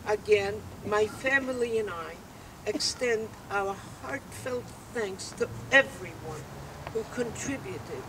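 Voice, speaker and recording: An older woman giving a solemn, deliberate prepared speech at an outdoor dedication ceremony. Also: mid-range voice, expressive. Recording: distant mic, loud outdoor background noise.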